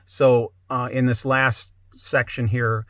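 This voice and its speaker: A man's voice: medium pitch, level tone, slow pace, fairly bright tone, no room sound. Man hosting a podcast, very dry sound. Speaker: podcast host. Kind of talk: conversational interview.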